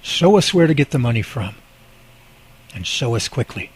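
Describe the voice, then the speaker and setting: A man's voice: mid-range voice, very animated, normal speed, slight background noise, lightly acted. A man playing an anxious, earnest, hard-up husband in an amateur radio drama, speaking expressively. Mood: prayerful.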